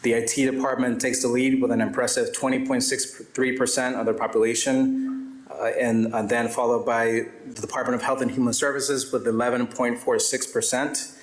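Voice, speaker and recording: A man's voice: medium pitch, level tone, moderate pace. Male event presenter, mid-range voice, formal scripted address, live roomy acoustics. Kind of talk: scripted speech with small ad-libs. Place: event room with audible echo. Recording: echoey live event room.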